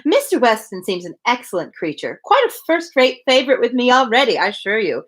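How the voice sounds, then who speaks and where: A woman puts on a self-important young married lady from a storybook. She gushes and chatters in a high, swooping voice, leaning hard on her words with overfamiliar delight. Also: sing-song, brisk, fairly bright tone. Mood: gushing, self-satisfied. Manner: effusive, emphatic, chattering. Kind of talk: dramatized novel reading.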